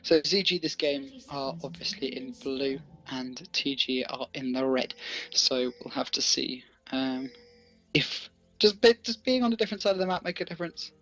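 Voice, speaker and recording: A man's voice: medium pitch, normal speed, a bit bright, soft background music, rough recording. A man doing casual esports commentary, thinking aloud as he analyses and calls the play.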